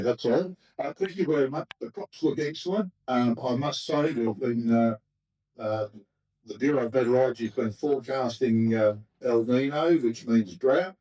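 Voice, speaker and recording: An Australian man chairing a community meeting and introducing the guest speaker, with many pauses and fillers. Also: medium pitch, mellow, far from the mic, rough recording. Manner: halting, filler-heavy.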